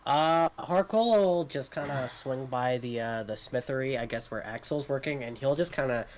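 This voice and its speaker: A man's voice: medium pitch, moderate pace. A man chatting casually as a player in a tabletop game. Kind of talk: casual chat among gamers.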